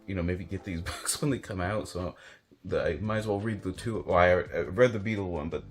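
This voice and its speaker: A man's voice: deep voice, fairly quick, soft background music. A man chatting casually and unscripted as a book reviewer, rambling and hesitant with lots of filler words. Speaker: book reviewer and video host. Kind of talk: casual unscripted chat to camera about books.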